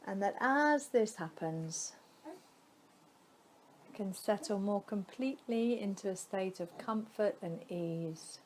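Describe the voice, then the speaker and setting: A woman leads a guided relaxation meditation at a very slow pace. Her delivery is soothing, with long pauses left between short phrases. The pitch sits in the middle range for a woman. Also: animated, a little hiss or hum, rough recording. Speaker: yoga nidra guide.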